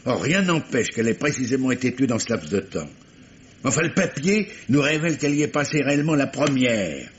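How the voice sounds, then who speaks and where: An actor playing an insistent, sardonic police commissioner who questions methodically, with stammered repetitions, in the style of a 1950s French radio crime drama. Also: male voice, medium pitch, sing-song, a little fast, close to an everyday voice. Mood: methodical, pressing. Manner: probing, stammering repetitions, ironic. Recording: muffled, noisy old radio recording.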